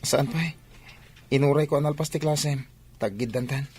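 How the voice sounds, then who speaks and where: A man's voice: fairly high pitch, a little fast, a little mellow, breathy edge, soft background music. A man with an Ilocano Filipino accent playing an earnest young student athlete in an audio drama. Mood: friendly, casual. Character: earnest young male student athlete devoted to his mother. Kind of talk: amateur audio drama acting.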